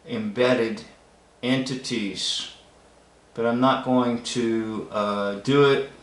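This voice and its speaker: A man giving casual, unscripted commentary in a halting, musing manner. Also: mid-range voice, a bit bright. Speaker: video commentator. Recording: echoey room.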